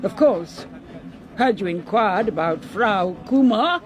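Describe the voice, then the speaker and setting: Very high-voiced, haughty man. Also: lively intonation, takes it slowly, slight background noise. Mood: coolly dismissive. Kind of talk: radio drama acting.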